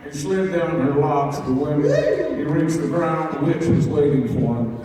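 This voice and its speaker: A man's voice: a little high, measured pace, distant mic, lightly acted. A man narrating a comic fairy tale theatrically as a live stage reading. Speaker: storyteller and actor. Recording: echoey convention hall from afar, crowd.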